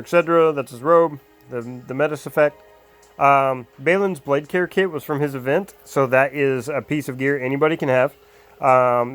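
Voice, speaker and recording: A man casually talking through a mobile game character build, rambling with lots of ums and uhs, his voice a little hoarse. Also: medium pitch. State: slightly hoarse, losing his voice. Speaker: mobile game guide creator. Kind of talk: casual game guide commentary.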